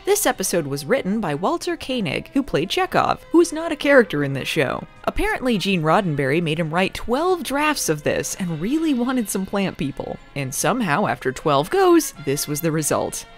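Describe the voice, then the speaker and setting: A woman's voice: mid-range voice, big pitch swings, normal speed, few pauses, soft background music. A woman hosting a comedy review, narrating casually in a sarcastic, irreverent way.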